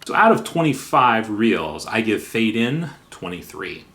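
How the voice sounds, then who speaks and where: Low-voiced man reviewing software. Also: sing-song, a little slow. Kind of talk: semi-scripted review narration.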